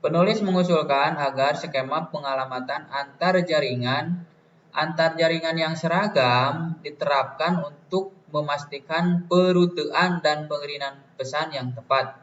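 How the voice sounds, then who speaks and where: A young man presents like a university student working through his notes, slowly and haltingly. His voice sits a little high for a man. It comes across distant, in an echoey room. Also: a bit bright. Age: young adult. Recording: dull, distant, roomy, faint noise.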